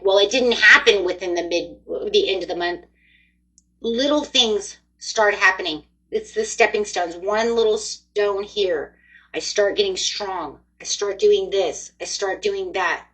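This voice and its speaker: A woman's voice: medium pitch, fairly bright tone, husky, rough recording. A woman in her forties giving a chatty, upbeat tarot card reading, speaking straight to her viewers. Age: 40s. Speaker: tarot reader.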